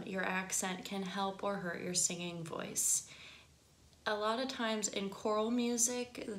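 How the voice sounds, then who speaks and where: Woman, Upper Midwest accent, nasal voice, mid pitch, chatty voice teacher, roomy echo. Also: a bit bright. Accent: Upper Midwest American. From northern United States. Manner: chatty, laughs easily, frequent fillers. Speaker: singing teacher. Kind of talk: casual instructional talk to camera. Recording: echoey room.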